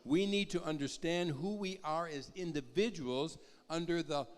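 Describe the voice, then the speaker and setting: A man preaching in short, teacherly phrases and pausing after each so an interpreter can follow. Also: on the high side. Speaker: visiting rabbi preaching. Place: church hall. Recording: long church hall reverb.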